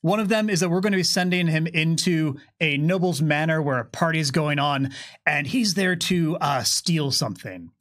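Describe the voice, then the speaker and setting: A man's voice: a little high, moderate pace, fairly bright tone. A man in his thirties co-hosting a game demonstration, explaining rules in a casual, conversational way. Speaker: game developer and co-host demonstrating tabletop rules. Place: small curtained studio, seated at a table. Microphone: microphone on a boom stand.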